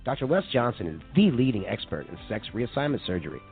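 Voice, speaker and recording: Man doing an earnest low-pitched clinic ad announcer. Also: big pitch swings, fast talker, creaky. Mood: earnest, salesy. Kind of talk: parody radio commercial voice-over. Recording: tinny narrowband audio with music.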